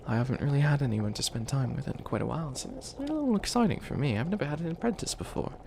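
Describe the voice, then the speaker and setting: Guy doing an old bunny witch for comedy asmr, creaky voice, stammers n rambles, sweet wannabe villain, some noise behind. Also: medium pitch, lively intonation, quick pace. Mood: helpful, encouraging. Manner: rambling, stammering, apologetic, trails off. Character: ancient male bunny witch, failed villain, flustered and kindly. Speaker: mentor to a new apprentice. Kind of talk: improvised comedic ASMR roleplay.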